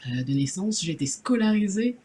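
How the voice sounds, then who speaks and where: A woman's voice: medium pitch, animated, fairly quick, warm tone, slight background noise. A woman from Nigeria, English-speaking from birth, speaking as a journalist on a conference panel, hesitant with many fillers and restarts. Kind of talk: panel discussion.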